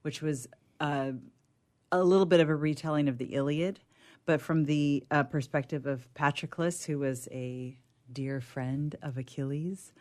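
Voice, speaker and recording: A woman's voice: deep voice, fairly even intonation, measured pace, a little mellow. A woman speaking casually as a panelist in a book discussion.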